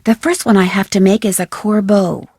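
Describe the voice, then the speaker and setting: A young American woman playing a plucky, curious amateur detective in a video game, with crisp, expressive, self-narrating delivery. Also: mid-range voice, moderate pace, no echo, clean studio sound. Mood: matter-of-fact. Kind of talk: scripted video game character lines.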